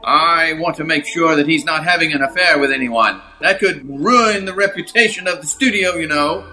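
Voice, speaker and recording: A man playing a self-important, suspicious movie studio boss with a pompous, deliberate manner in a radio comedy. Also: on the high side, bright, forceful tone, low-quality recording. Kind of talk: improvised old-time radio drama parody.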